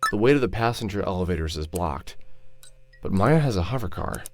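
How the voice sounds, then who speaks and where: An actor playing a gruff, hard-drinking man with a synthetic arm who talks in a blunt, sarcastic way and mutters to himself. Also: low voice, animated, brisk, over music. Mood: brooding.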